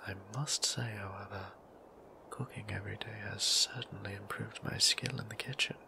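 A man voicing a gentle, formal male vampire priest in a whispered ASMR roleplay, speaking in old-fashioned, softly teasing phrasing. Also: lowish voice, fairly even intonation, normal speed, noisy background. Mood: tender. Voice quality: soft whisper, gravelly. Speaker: voice actor.

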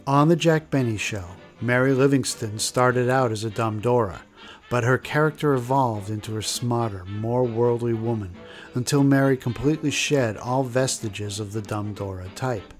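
A male narrator with a mid-pitched voice reads scripted documentary narration. Faint music plays behind him. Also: moderate pace.

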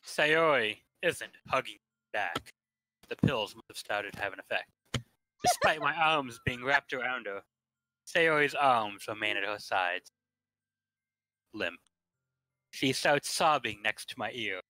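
Bright-voiced man reading narration. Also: medium pitch, lively intonation, unhurried, pauses often, no room sound, low-quality recording. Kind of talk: scripted narration read casually.

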